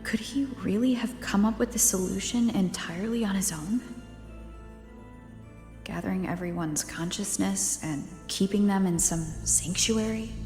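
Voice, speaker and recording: A woman's voice: mid-range voice, lightly acted. An actress voicing a curious young woman adventurer who reasons aloud through clues in an English game dub. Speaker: protagonist investigating ruins. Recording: roomy game audio with long reverb. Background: soft music.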